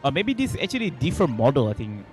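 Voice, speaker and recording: A man's voice: medium pitch, wildly swooping pitch, rapid-fire, darkish tone, soft background music. A man livestreaming casual gaming commentary, informal, enthusiastic and rambling.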